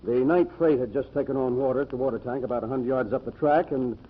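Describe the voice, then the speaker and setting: A man's voice: medium pitch, quick pace, slightly rough, muffled recording, natural voice. A man playing a formal, self-important county sheriff in a 1940s radio drama, precise and stiff in speech. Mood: businesslike. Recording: thin, band-limited old radio sound.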